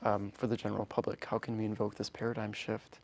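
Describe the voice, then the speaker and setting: A young man whose first language is Polish, speaking as a guest in an interview, earnest and halting with many restarts. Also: fairly low pitch, monotone, a little mellow. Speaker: orchestra founder, guest. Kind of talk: interview conversation.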